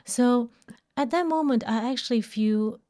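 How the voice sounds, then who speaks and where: A woman hosting an interview podcast in a conversational way, haltingly searching for words and often checking in with tags. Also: on the high side, dark tone. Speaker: podcast host.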